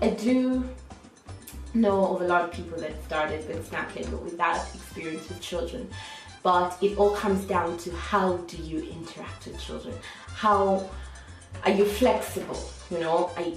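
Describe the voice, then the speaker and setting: A woman with a light Irish accent, an online English teacher giving a chatty, upbeat how-to talk that often restarts its sentences. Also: on the low side. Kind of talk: casual how-to vlog. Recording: echoey room, faint music.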